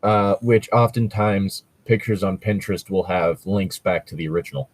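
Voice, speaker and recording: A man's voice: low-pitched, steady tone, normal speed, dry recording. A man co-hosting a comedic fan fiction read-aloud, chatting casually in a sweary, exasperated, sarcastic way, close to the microphone. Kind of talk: casual chat mixed with mocking read-aloud.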